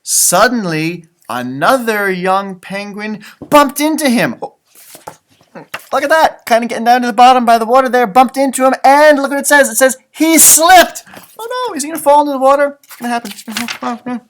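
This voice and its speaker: Man, very animated intonation, children's storybook read-aloud, expressive, dramatic emphasis, casual explanatory asides. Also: very high-pitched, fairly quick, slight background noise. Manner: expressive, dramatic delivery with sound effects and exclamations; chatty, simple explanations addressed to children. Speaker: elementary school music teacher reading storybooks aloud.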